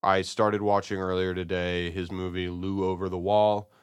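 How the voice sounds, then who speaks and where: A man co-hosting a podcast, discussing and reviewing in a casual, halting, analytical way. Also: low voice, level tone, clear, resonant tone. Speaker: podcast co-host.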